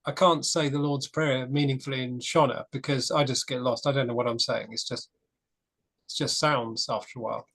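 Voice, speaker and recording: A man's voice: mid-range voice, steady tone, brisk, creaky, a bit bright. A man in a casual church discussion group, talking frankly and telling a story with restarts.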